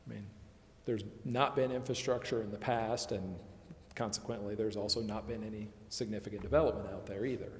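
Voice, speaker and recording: In a reverberant chamber with faint noise, a man with a lowish voice explains matters at a public meeting. He hedges often and repeats phrases as he works toward his point. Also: fairly even intonation, brisk. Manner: hedging, repeats himself. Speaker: presenter advising a city committee. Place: council chamber.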